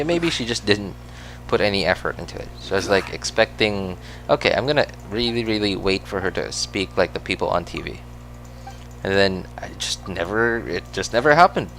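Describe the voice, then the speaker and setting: A man's voice: on the low side, a bit bright, slight background noise. A man with a Filipino accent, whose first language is Cebuano, chatting casually while he plays a game, rambling with lots of fillers and self-corrections. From Philippines. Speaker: gaming streamer.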